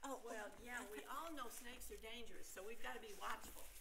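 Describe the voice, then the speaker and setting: Creaky, cautious older woman in amateur acting. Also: fairly high pitch, a little fast. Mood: grave warning. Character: wary older woman resident, gravely cautious. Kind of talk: amateur staged play reading. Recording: live stage, very noisy audience.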